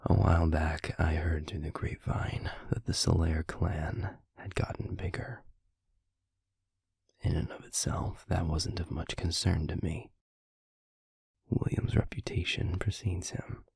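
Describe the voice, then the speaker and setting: A man playing a stern, guarded werewolf pack alpha in an audio roleplay, speaking formally and deliberately in a gravelly, husky voice. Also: deep voice, little pitch movement, a little mellow, no room sound, whispered, clean studio sound, exaggerated voice. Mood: grave, measured. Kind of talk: scripted audio roleplay acting.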